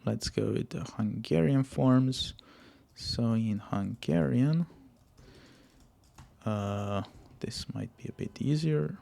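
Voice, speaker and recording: Slow gravelly man. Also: medium pitch, mellow. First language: Serbian. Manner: halting, muttered asides, trailing off in whispers. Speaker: software engineer. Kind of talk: thinking aloud while coding.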